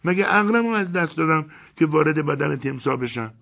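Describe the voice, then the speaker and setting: A man reading aloud and voicing a quick-tempered young wife who shrieks and complains in indignant bursts. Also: medium pitch, animated, slightly rough, pitched above the performer's own voice. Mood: shrieking. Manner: shrieking, exasperated, rapid complaints. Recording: dull lo-fi recording.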